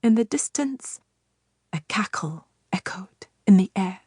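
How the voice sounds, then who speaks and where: Slow, measured female audiobook narrator. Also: mid-range voice, husky, no room sound, clean studio sound. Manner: measured, expressive storytelling. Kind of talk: scripted fairytale narration.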